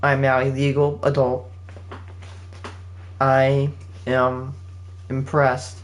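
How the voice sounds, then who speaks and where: A man's voice: medium pitch, completely flat delivery, extremely slow. Rambling eighteen-year-old man. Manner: rambling, with frequent restarts and fillers. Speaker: channel host. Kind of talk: casual spoken update to viewers. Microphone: home setup with game console running nearby.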